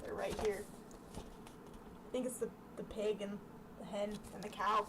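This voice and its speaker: A woman's voice: medium pitch, flat delivery, a little slow. A young woman reading aloud in a casual, chatty way, breaking off into giggly asides. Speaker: amateur vlogger reading childhood books aloud. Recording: distant mic with clear background noise.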